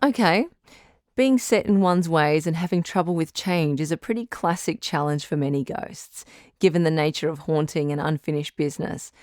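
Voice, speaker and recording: An actress playing a patient woman advice-show doctor in an audio drama, measured, tactful and reassuring. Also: low-pitched, a little mellow, no echo, clean studio sound, close to an everyday voice. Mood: calm, tactful.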